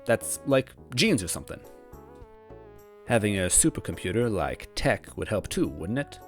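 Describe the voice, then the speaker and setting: Man acting anxious young man, hesitant self-doubting storybook narration, low voice, soft music behind. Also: sing-song, normal speed. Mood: anxious, brooding. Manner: hesitant, wavering, second-guessing. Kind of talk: first-person storybook narration. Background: background music.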